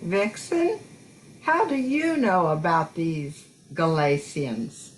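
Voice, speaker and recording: Fussing, motherly wife of Santa played by a woman, lively pitch swings, fondly chiding, slightly noisy amateur radio drama. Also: slow pace. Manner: fond, chiding. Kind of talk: amateur radio play acting.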